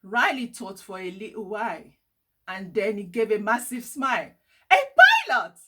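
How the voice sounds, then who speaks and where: A woman reading a children's story aloud in an expressive, deliberate storytelling way. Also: mid-range voice. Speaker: storybook reader.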